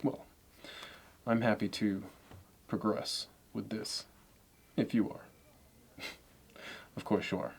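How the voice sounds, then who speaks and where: A man playing a smug, meticulous Manhattan investment banker in an ASMR roleplay, speaking in a measured, self-assured way. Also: fairly low pitch, steady tone, creaky, slightly rough, exaggerated voice. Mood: fastidious, appraising.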